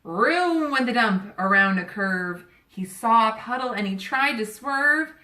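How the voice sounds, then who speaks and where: A woman reads a rhyming picture book to children with a lively, expressive delivery. Her voice is bright and unhurried, recorded a little away from the mic in an echoey room. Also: fairly high pitch. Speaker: volunteer story reader. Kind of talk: children's storybook read-aloud. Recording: roomy echo, distant microphone.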